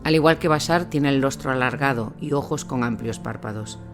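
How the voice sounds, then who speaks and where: A man narrating a scripted audio drama as a war reporter, in a measured, solemn storytelling manner. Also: medium pitch, close to an everyday voice. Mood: grave, measured. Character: male war reporter recounting grim events in Iraq. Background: music bed.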